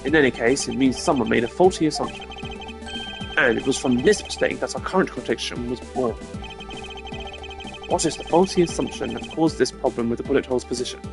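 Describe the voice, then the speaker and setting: A man voicing a cool, arrogant male prosecutor who talks in a measured, condescending, precise way, in a fan dub of a video game. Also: mid-range voice, vocal fry, music in the background, close to an everyday voice.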